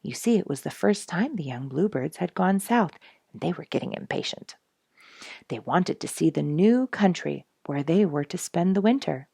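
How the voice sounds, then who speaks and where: Low-voiced woman reading a children's story. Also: animated, normal speed, gravelly, warm tone, husky, no room sound, studio quality. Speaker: storybook reader. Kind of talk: scripted children's story narration.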